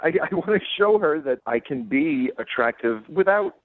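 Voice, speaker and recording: A man's voice: on the high side, expressive, moderate pace. A man phoning in as a guest on a radio dating segment, talking casually down a phone line. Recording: tinny band-limited phone line.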